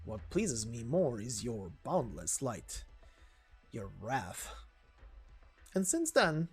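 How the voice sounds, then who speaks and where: A man voicing a long-lived, menacing assassin from a game story, speaking deliberately and formally with ominous pauses. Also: medium pitch, huge pitch swings, soft background music, voice pushed down. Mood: reverent menace. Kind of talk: dramatic game story reading.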